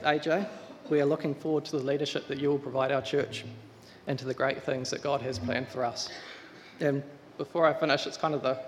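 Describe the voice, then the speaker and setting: A man giving an earnest address to a church congregation, welcoming a new pastor. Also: medium pitch. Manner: earnest, measured, with restarts. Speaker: search committee member. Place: church hall. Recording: reverberant church hall, faint room noise.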